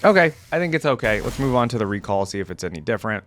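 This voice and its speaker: A man's voice: on the low side, very animated, rapid-fire, fairly bright tone, soft background music. A man hosting a reaction video, giving informal commentary to viewers and thinking aloud as he goes.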